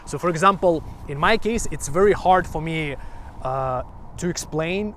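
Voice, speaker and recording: A man's voice: a little high, normal speed, fairly bright tone, a little hiss or hum. Rambling man vlogging outdoors. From Finland. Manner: rambling, restarts often, laughs between points. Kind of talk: casual talk to camera.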